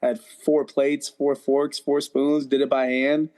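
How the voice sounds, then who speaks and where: A man's voice: medium pitch, little pitch movement, measured pace, darkish tone. A married man from Ohio, a podcast guest, telling a folksy, rambling story on a video call. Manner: rambling, folksy, lots of "you know". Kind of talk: casual chat.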